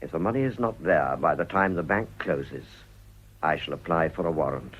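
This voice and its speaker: An actor playing a stern, honest middle-aged company director in a radio drama, speaking gravely and firmly with a British accent. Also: male voice, very deep voice. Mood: grave, final. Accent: British English. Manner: grave, firm, deliberate. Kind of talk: radio play acting. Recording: dull, muffled old radio recording.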